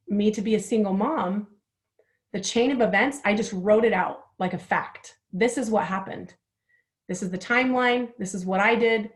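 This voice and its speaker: A woman of forty with a chatty, candid manner, guiding listeners through a writing exercise and laughing at herself as she goes. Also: medium pitch. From Utah, United States. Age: 40s. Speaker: workshop host. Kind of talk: guided writing workshop.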